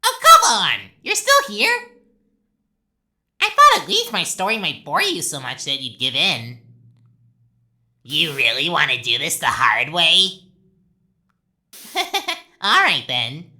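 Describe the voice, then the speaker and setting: A woman's voice: low-pitched, huge pitch swings, takes it slowly, long pauses, pushed and bright, exaggerated voice. A woman voicing a sadistic, childishly gleeful talking flower villain in a video game, taunting theatrically and swinging from sweet to menacing. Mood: gleeful menace.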